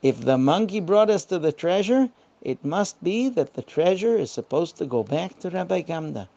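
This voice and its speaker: A woman's voice: lowish voice, animated, moderate pace, dark tone, highs cut off. A woman voicing an honest, kind sailor in a children's bedtime story, speaking earnestly and politely. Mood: amazed, earnest.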